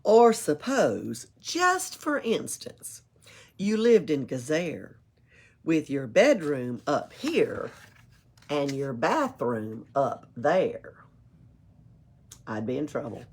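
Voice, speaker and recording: Expressive woman reading a storybook. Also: low-pitched, takes it slowly, husky. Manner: expressive, playful, adds asides and chuckles. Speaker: library storytime reader. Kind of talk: reading a picture book aloud.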